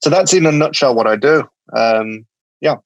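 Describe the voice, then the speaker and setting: A man's voice: medium pitch, normal speed, no echo, muffled recording. A man with a Birmingham accent, a company founder talking as a podcast guest, hesitant and full of ums and restarts. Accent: Birmingham English. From England, Birmingham. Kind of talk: casual interview talk.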